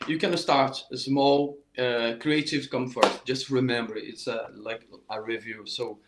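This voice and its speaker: A middle-aged Brazilian man speaks English with a Portuguese accent, coaching saxophone students at a mid pitch. He talks earnestly and repeats himself, often restarting words. The room around him rings with a noticeable echo. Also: fairly even intonation, a little slow, a little hiss or hum. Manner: earnest, repetitive, frequent restarts. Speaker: saxophone teacher. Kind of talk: informal online music lesson.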